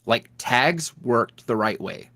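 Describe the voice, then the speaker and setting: A man's voice: mid-range voice, lively intonation, slow pace, pushed and bright, no room sound, clean studio sound. A man being interviewed as a guest, chatting casually and telling rambling stories with frequent laughs. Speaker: ASMR roleplay creator, podcast guest.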